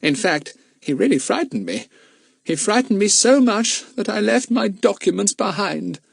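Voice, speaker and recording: A man voicing a nervous, forgetful, gentle older gentleman in a children's audiobook, hesitant and seeking reassurance. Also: sits high, very animated, normal speed, gravelly, breathy edge, no room sound, studio quality, voice pushed up, heavily acted. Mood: agitated. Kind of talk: acted children's audiobook dialogue.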